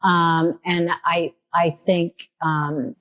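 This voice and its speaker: A woman's voice: fairly low pitch, little pitch movement, extremely slow, a bit bright, highs cut off. A woman with a New York accent hosting a casual podcast interview, thinking aloud with many restarts and real enthusiasm. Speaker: podcast host.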